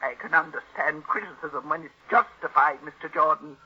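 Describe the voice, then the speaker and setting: An actress playing an ailing, imperious older aunt who is secretly scheming, husky and deliberate, in 1940s radio style. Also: female voice, low-pitched. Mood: offended, haughty. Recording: muffled old radio recording.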